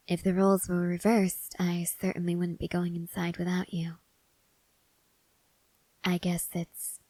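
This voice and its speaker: Female actor for reserved young soldier woman, tender with her mate: low-pitched, very even delivery, soft half-whispered ASMR roleplay. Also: no room sound, poor audio. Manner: hushed, hesitant, gently reserved. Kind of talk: ASMR roleplay, intimate scripted monologue.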